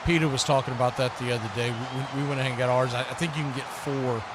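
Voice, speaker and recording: A man's voice: medium pitch, fairly even intonation, quick pace, over a noisy crowd, very noisy. An American man working as a sports host and play-by-play commentator on a live football watch party.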